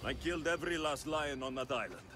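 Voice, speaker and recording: An actor with a Greek accent playing a confident, wry ancient Greek mercenary in a video game, dry and measured. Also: male voice, on the high side, animated, over music, natural voice. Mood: earnest.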